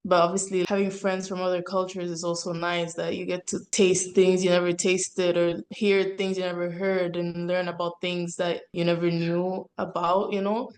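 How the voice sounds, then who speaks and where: A woman of twenty, a podcast guest, answering in a relaxed, thoughtful way. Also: fairly low pitch, normal speed, few pauses. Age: 20s.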